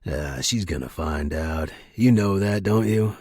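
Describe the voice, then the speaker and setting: A man playing a big, gruff ex-military man in an audio story, weary and skeptical but gentle at heart. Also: deep voice, lively intonation, unhurried, dry recording, studio quality, pitched below the performer's own voice, full character voice.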